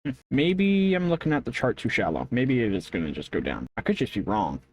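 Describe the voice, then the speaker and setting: A man's voice: mid-range voice, very animated, fairly quick, a little mellow, husky. A man thinking aloud in a casual, rambling audio journal, unsure of himself, often trailing off and rephrasing in loose slang. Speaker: vlogger recording an audio journal.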